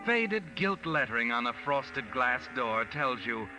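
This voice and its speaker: Knowing crime-tale narrator, second-person scripted storytelling, lively swings of pitch over music, vintage radio. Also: male voice, measured pace, rough recording. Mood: ominous, knowing. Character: sardonic, all-knowing male mystery storyteller.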